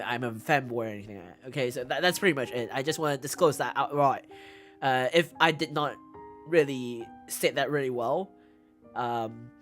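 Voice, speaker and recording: A woman streamer with a mixed English accent chatting casually as she answers viewer questions, giggly and halting, often repeating herself. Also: sits low, fairly bright tone. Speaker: VTuber streamer host. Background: background music.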